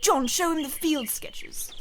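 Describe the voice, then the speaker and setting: A woman's voice: high voice, unhurried, slight background noise. A woman voicing a brash, impatient huntress in a comedic old-style radio play, brushing off warnings. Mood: brisk.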